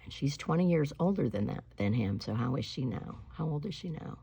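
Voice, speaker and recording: A woman reading a children's picture book aloud in an expressive, emphatic storytelling style, slowing on key words. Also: a bass voice, creaky, slightly rough. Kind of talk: expressive read-aloud storytelling with spoken asides.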